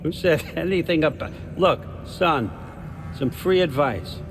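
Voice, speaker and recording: A man's voice: on the high side, unhurried, noisy background. An older man playing a sly, folksy god ruler in a video game, talking in a wry, needling, falsely friendly way. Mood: needling, casual. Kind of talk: naturalistic video game acting.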